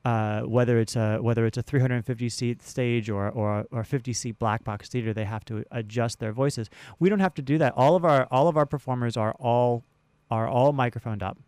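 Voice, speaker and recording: Clear-voiced man, dry close sound. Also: mid-range voice, a little mellow, no room sound. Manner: halting, repeats words while explaining. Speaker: interview guest, theater director. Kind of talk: conversational interview. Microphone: close studio broadcast mic.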